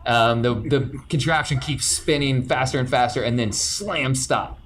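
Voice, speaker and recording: A man running a tabletop role-playing game, describing the scene to the players in a relaxed way. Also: mid-range voice, unhurried, slight background noise. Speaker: game master.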